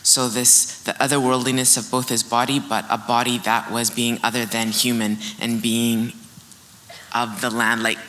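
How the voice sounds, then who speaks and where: Bright-voiced woman moderating, some background noise. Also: a bass voice. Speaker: panel moderator. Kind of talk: informal panel moderation with personal reflection. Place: indoor event stage.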